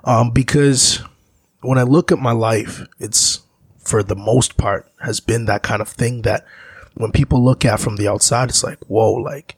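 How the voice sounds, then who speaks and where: A gravelly, slightly husky man from Zambia talks as a guest in an interview. He rambles through his memories in a reflective way, and his lowish voice sounds dry and close. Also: steady tone, measured pace, dry recording. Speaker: musician guest. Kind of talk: casual interview talk.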